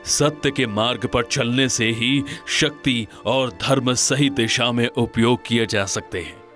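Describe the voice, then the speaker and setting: A man's voice: medium pitch, unhurried, a bit bright, soft background music, lightly acted. A man playing an earnest, noble king in a cartoon moral story, speaking formally and respectfully.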